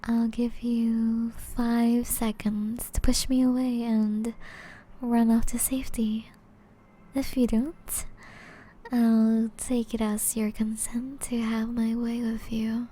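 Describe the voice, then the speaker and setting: A woman voicing a seductive, aristocratic female vampire in an intimate ASMR roleplay, breathy and half-whispered, with teasing, elegant phrasing. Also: a little high, flat delivery, takes it slowly, dark tone. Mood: daring, playful.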